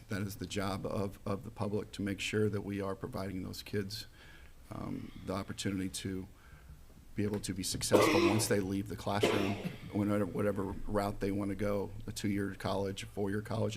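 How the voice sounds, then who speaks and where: Man, 40s, gravelly and flat; halting local candidate answering questions. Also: fairly low pitch, slight background noise. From Missouri, USA. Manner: plain, halting, with fillers and restarts. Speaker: school board candidate.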